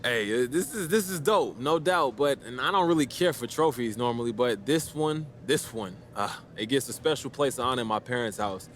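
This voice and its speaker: An actor playing a confident young basketball star giving slangy, casual press conference answers in a video game. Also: male voice, medium pitch, animated, normal speed, hardly any pauses, a little hiss or hum, natural voice. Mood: thrilled, grateful. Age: young adult. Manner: cocky, slangy, laid-back.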